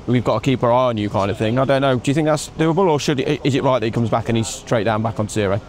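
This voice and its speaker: A man in his thirties hosting a casual motorsport chat outdoors, asking quick questions on a lapel mic. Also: medium pitch, a little hiss or hum. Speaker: host. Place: outdoors in a racing paddock at night. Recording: faint outdoor street ambience.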